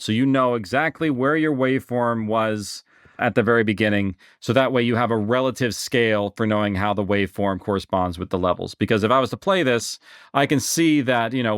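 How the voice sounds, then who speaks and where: Male tutorial narrator, medium pitch, informal and explanatory, dry recording. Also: clear, resonant tone, no room sound. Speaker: software instructor. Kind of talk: casual screen-share tutorial narration.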